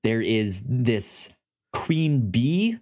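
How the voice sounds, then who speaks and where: A man co-hosting a podcast in casual chat, recapping a story in a halting way, often repeating words and syllables. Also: medium pitch, big pitch swings, dark tone. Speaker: podcast co-host.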